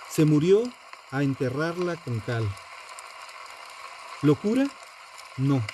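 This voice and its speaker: A narrator reading a scripted true-crime account in a measured, dramatic way, in short punchy phrases. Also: medium pitch, warm tone, slight background noise, low-quality recording.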